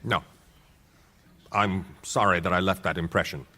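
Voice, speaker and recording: An actor playing a flustered witness who backs down and apologizes under questioning. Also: male voice, low-pitched, steady tone, measured pace, pushed and bright, full character voice. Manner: hesitant, apologetic.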